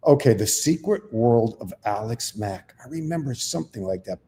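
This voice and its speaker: A man's voice: medium pitch, lively intonation, moderate pace, warm tone, a little hiss or hum. An older man, a comedian co-hosting, telling rambling, self-deprecating stories in casual chat. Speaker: comedian co-host.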